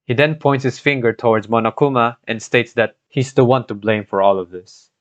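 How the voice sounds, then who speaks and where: A man's voice: mid-range voice, fairly even intonation, moderate pace, dry recording. Man narrating, dull lo-fi mic. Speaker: video narrator, gaming content creator. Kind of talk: scripted storytelling narration with personal commentary. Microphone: cheap home microphone, voice-over. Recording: dull lo-fi scuffed home microphone.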